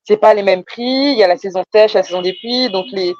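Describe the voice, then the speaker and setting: A woman's voice: medium pitch, fairly even intonation, a little fast, a little mellow, no echo, lo-fi sound. A woman from France with a Parisian accent, explaining her business conversationally, often hesitating and repeating words for emphasis. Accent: Parisian French. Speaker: entrepreneur being coached.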